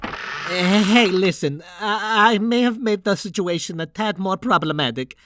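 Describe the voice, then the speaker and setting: A man acts out an anxious young man in a cartoon comic dub, stammering and apologetic. His voice is bright and very lively in pitch, recorded dry and close, with music underneath. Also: a little slow, no echo. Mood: nervous, flattering. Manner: nervous, stammering, pleading. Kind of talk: fan comic dub voice acting.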